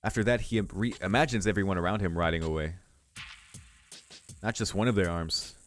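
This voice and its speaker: A man's voice: low-pitched, a little fast, fairly bright tone. A man hosting a let's play, reading visual novel narration aloud and adding casual commentary. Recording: music playing behind the voice. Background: game music.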